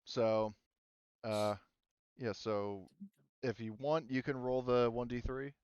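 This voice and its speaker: Crisp-voiced man running a tabletop game. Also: medium pitch, flat delivery, slow pace, darkish tone, dry recording, muffled recording. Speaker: game master. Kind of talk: casual online tabletop game session.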